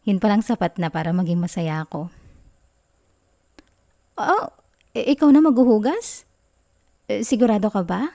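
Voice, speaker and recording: A doting young Filipino girlfriend acted by a woman in an ASMR roleplay. Her voice is soft and mellow, sitting at a medium pitch. She talks tenderly and coyly, bashful and giggly with affection. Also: lively intonation, normal speed, long pauses, close to an everyday voice. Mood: gentle, teasing.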